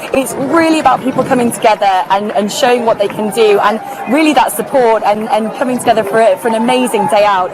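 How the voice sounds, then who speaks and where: A woman's voice: a little high, noisy background. A young woman reporting live for radio from outdoors at a busy street race, chatty and upbeat with many fillers.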